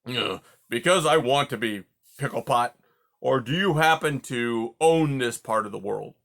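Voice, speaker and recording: A man's voice: mid-range voice, lively intonation, unhurried, creaky, a bit bright. A man voicing a grumpy old male porcupine who huffs, sputters and swears in silly made-up oaths. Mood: grumbling.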